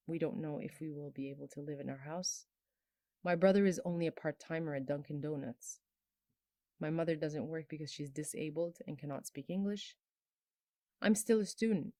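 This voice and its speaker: Earnest low-voiced woman in her twenties. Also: mellow, dry recording, studio quality. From Bangladesh. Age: 20s. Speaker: storyteller sharing a personal testimony. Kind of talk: personal testimony.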